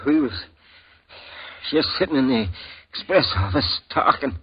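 An actor playing a badly wounded man lying on a cot, with a raspy, airy voice, in a 1950s radio drama. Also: mid-range voice, animated, measured pace. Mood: strained. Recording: dull old radio broadcast recording.

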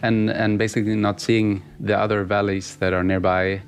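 A Swedish man, a musician guest whose first language is Swedish, answering in a halting casual interview with many fillers and restarts. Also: fairly low pitch, level tone, gravelly. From Sweden.

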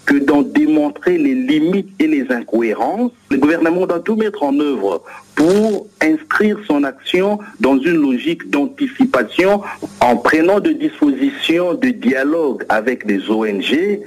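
A male analyst giving a deliberate, analytical comment in an interview. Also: fairly high pitch, expressive, poor audio.

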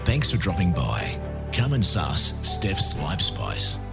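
A man announcing the show's scripted intro in a deep, gravelly voice, with music playing behind him. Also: huge pitch swings, slow. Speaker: show announcer.

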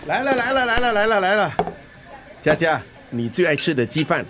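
A man's voice: high voice, big pitch swings, brisk, a bit bright. An actor playing a breezy, caring middle-aged father and musician in a radio drama, flippant, with a Singaporean accent. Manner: flippant, bantering. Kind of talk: Mandarin radio drama acting.

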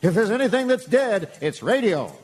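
A man's voice: high-pitched, very animated, normal speed, noisy background. An actor playing a kindly, earnest older small-town father figure in a live comedic radio play. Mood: consoling. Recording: live hall reverb, music behind.

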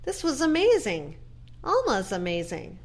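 A woman playing a gentle, earnest daughter in an audio play, measured and reflective. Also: fairly high pitch, wildly swooping pitch, heavily acted. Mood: moved, grateful. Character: gentle, earnest daughter visiting her mother in care.

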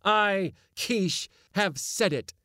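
A man's voice: sits high, animated, extremely slow, pushed and bright, no echo, clean studio sound, voice pushed up, exaggerated voice. A man voicing a proud, defiant boy who declaims formally before a council. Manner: declaiming, formal old-fashioned speech.

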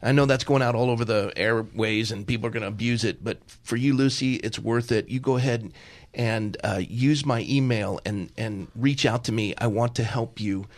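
A middle-aged male radio host who speaks with fervor and a pastor's warmth of conviction, often stumbling over repeated words before pressing on. His pitch sits in an ordinary middle range. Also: moderate pace. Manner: fervent, pastoral, repeats himself in bursts. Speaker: radio talk show host. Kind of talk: talk radio with prayer.

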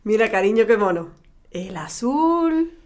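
Family-drama mum: fairly high voice, cheerful, brisk, organising everyone. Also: female voice, sing-song, a little mellow. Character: upbeat, bossy mother and wife at dinner. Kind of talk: naturalistic audio drama dialogue.

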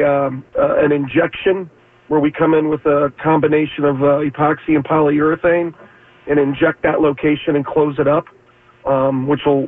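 A male guest expert with a slightly scratchy, allergy-worn voice. He talks haltingly and fills pauses with ums and uhs. He sits a touch high, heard over a tinny phone line. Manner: hesitant, many fillers. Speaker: foundation repair expert guest.

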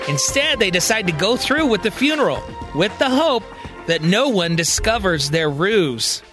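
A man narrating a scripted serial story as a podcast host, with a dramatic, deliberate storytelling delivery. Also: sits high, sing-song, fairly bright tone, soft background music.

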